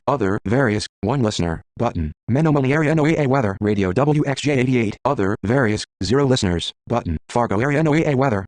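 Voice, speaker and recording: A man's synthetic screen reader voice rattles through list entries very quickly. The delivery is clipped and mechanical, with a medium pitch and a completely dry, close sound. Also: a little mellow, no echo. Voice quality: synthetic text-to-speech voice. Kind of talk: flat automated list reading.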